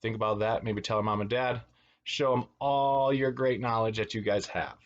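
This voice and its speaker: A man's voice: fairly low pitch, normal speed, dull audio. A man reading a children's storybook aloud as a teacher, expressively, with asides to his young listeners. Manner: expressive, acts out words and exclamations.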